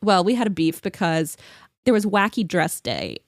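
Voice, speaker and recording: A woman's voice: lowish voice, very animated, normal speed, dry recording, studio quality. A woman in her thirties telling comic stories among friends in a dramatic, self-mocking manner. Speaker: podcast guest and storyteller.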